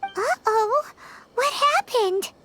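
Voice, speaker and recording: A woman voicing a small, childlike, excitable fairy companion in an English video game dub, with a squeaky voice. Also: drawn-out words, noisy background, heavily acted. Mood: curious, startled. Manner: exclamatory, refers to self in third person.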